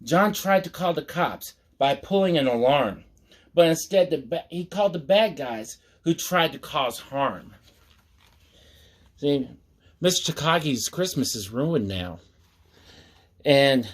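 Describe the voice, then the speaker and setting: A man hosting storytime, reading a picture book aloud with mock-earnest asides in a measured storyteller's manner. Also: mid-range voice, expressive.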